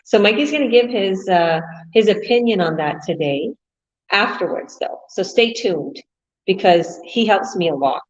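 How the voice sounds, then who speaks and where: A woman's voice: medium pitch, expressive, normal speed, muffled recording. A woman hosting an online business talk show, chatting in an upbeat way with fillers. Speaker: host and business coach.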